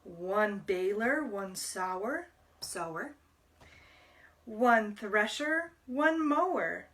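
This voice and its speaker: A woman reading a picture book aloud to young children as a library storyteller, deliberately, pausing to explain words. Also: medium pitch, animated, a bit bright, distant mic.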